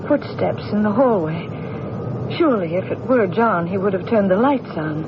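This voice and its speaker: Radio mystery role: wealthy accused widow played by a woman, formal, guarded, halting, on a dull, noisy vintage recording. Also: medium pitch, animated, natural voice. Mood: tense, haunted. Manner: measured, guarded, formal, halting when recounting. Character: poised wealthy widow accused of killing her husband. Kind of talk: old-time radio mystery acting.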